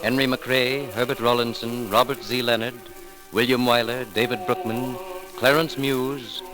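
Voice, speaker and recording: Solemn 1930s radio announcer over music. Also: male voice, mid-range voice, fairly even intonation, poor audio. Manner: formal, measured, solemn. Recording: vintage 1930s radio broadcast recording.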